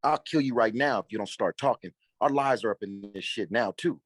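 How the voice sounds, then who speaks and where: An actor playing a tough, impatient young male hustler in a screenplay table read, confrontational and slangy, with a husky voice. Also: medium pitch, a little fast, dry recording, clean studio sound, close to an everyday voice. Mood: angry, pressing.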